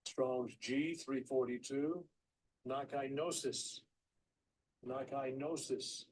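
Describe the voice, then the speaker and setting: Slow, husky male voice reading out words, heard from a distance. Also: mid-range voice. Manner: reads out reference numbers and words flatly. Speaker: recorded word pronunciation. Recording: distant playback away from mic.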